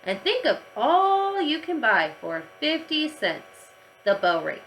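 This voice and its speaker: A teasing, haggling male schoolyard teacher from a children's storybook, acted out by a woman reader. He wheedles and bargains in a bright, forceful voice with lively swings of pitch. There is some noise in the recording. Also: slow, exaggerated voice, rough recording.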